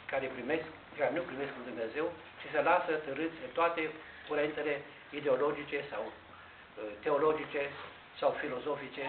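An older man preaches in a large, echoing church, his fairly high voice bouncing around the room. He explains his points step by step, pausing and restarting as he goes. The sound is thin and phone-like, with a steady hiss underneath. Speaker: priest. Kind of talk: sermon. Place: large Orthodox church interior, indoors. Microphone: far camera. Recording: tinny, echoey church recording with hiss.

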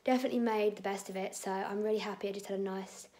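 A woman, chatting casually to a phone camera at home in a husky, strained voice, rambling with lots of fillers. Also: mid-range voice, steady tone, fast talker, a little mellow. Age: 20s. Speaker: vlogger. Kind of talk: casual vlog chat. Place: indoors at home, bedroom and kitchen. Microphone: phone camera held at arm's length.

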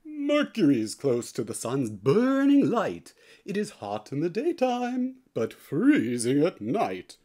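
Very animated, unhurried children's storybook reader. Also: mid-range voice. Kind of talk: expressive children's read-aloud in rhyme.

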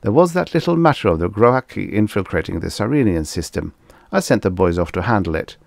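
A man voicing a calm, dryly polite diplomat with a bland manner in a science fiction audiobook. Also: on the low side, brisk, gravelly, no echo, studio quality. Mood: calmly polite. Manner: bland, calm, matter-of-fact. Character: unflappable, dryly polite male junior diplomat.

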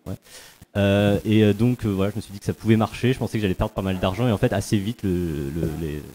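A man's voice: fairly low pitch, fast, mellow. A man on a festival panel explaining his path from teaching to making videos, thoughtfully and with many fillers. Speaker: panel guest, video creator. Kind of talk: casual panel talk.

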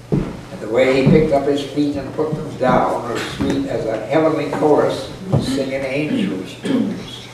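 An elderly man in his eighties or older reads a folksy, humorous story aloud in a dry, rustic manner. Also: medium pitch. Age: 80s or older. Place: indoor parlor with a small audience. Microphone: camera microphone at a distance. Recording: echoey, noisy room recording from far off.

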